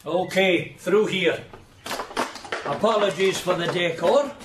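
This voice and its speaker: An actor playing a curt, suspicious and sardonic village police constable in a radio drama. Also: male voice, high-pitched, slow pace, music in the background. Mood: curt, commanding. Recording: roomy radio drama with noise.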